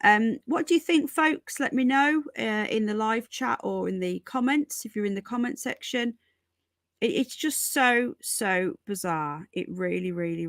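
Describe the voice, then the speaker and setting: A woman's voice: mid-range voice, a bit bright, no room sound, clean studio sound. A woman hosting a casual live news stream from home, chatting to viewers, repeating herself and calling them folks and darling. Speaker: livestream host.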